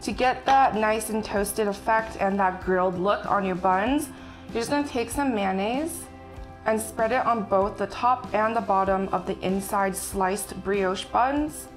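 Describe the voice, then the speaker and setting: A young woman chef casually explaining a recipe step by step while she cooks in a bright home kitchen. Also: mid-range voice, measured pace. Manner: friendly step-by-step instructions while working. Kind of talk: casual cooking demonstration. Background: background music and sizzling food.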